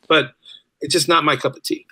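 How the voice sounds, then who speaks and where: A man's voice: on the high side, steady tone, brisk, sharp tone, husky, no echo. A man speaking as a podcast guest in casual conversation, often repeating words for emphasis. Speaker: podcast guest, writer and audio drama creator. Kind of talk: casual interview chat.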